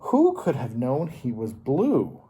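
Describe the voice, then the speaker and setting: A man performs proud crayon characters gushing with admiration in a children's storybook. Each line is emphatic and drawn out, with a swinging pitch and mellow tone. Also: wildly swooping pitch, takes it slowly, breathy edge, heavily acted. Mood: proud, knowing.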